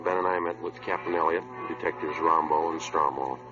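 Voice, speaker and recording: An actor playing a terse, businesslike police detective who narrates his cases in a clipped, deadpan way in a 1950s radio drama. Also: very deep voice, a little fast. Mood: flat, factual. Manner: clipped, deadpan, matter-of-fact. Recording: muffled old radio recording, music behind.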